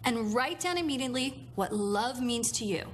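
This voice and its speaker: A woman's voice: fairly high pitch, animated, normal speed, a little hiss or hum. A middle-aged woman working as a matchmaker, talking bluntly and pushily, teasing her clients. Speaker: matchmaker host. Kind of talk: reality TV coaching and narration. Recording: music underneath.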